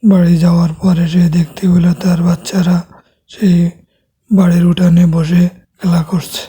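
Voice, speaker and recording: A woman narrator reads a children's cartoon story in a very dark, muted tone. Her voice is a little low and husky, with flat intonation. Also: normal speed, big-room sound.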